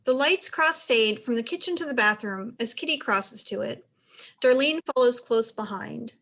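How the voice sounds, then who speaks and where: Woman, fairly high voice, scripted narration, stage directions reader. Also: expressive, normal speed, a bit bright.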